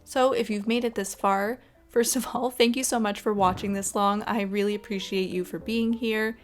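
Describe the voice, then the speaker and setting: A woman's voice: mid-range voice, fairly even intonation, normal speed. A woman hosting a gaming video with casual, chatty, encouraging commentary in an informal way. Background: background music.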